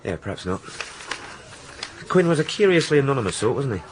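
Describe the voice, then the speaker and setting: A man's voice: mid-range voice, sing-song, a little fast, a little hiss or hum, close to an everyday voice, low-quality recording. An actor playing a chatty junior police detective in a radio drama, informal but deferential to his boss. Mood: casual, curious.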